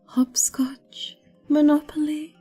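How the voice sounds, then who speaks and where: A woman's voice: high voice, flat delivery, dark tone, soft background music, pitched above the performer's own voice. A woman voicing a sugary, sinister false mother from a storybook, cooing and stretching out her words. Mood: sweetly menacing. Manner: drawn-out, cooing, over-sweet.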